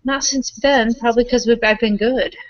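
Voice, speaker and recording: A woman's voice: a little high, fairly even intonation, moderate pace, dry recording. A woman playing in a tabletop roleplay, chatting casually in character, on dull, lo-fi audio.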